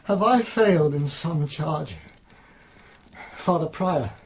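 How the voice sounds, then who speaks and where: A man playing a wounded, confused monk in a radio drama, faint, breathy, anxious and halting. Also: a little high, lively intonation, full character voice. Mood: confused, distressed.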